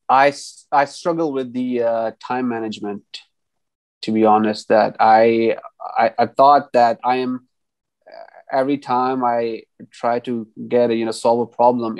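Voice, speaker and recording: A man's voice: medium pitch, little pitch movement, measured pace, a little mellow, dry recording. A man from Pakistan in his thirties answers interview questions over a video call. He talks hesitantly, restarting often and leaning on "you know." He speaks through an earphone mic in a small room, and the sound is dry and close. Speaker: guest engineer. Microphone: earphone headset mic on video call.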